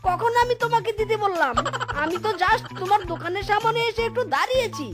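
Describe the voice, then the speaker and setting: A man's voice: very high-pitched, quick pace. A man voicing a sly, boastful young fox thief in a cartoon, talking cheekily to himself. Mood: indignant. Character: sly thieving young fox trickster, male. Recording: cartoon voice over loud music.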